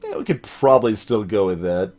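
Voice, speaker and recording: A man's voice: lowish voice, sing-song, fairly quick, darkish tone. A man giving casual, conversational commentary as he plays a video game. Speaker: let's player voicing game characters. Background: game music.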